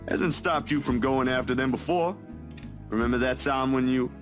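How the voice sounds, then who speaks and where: A man's voice: mid-range voice, a little slow, natural voice. An actor playing an easygoing, hopeful young man in a visual novel, casual, folksy and reassuring. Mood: teasing. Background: background music.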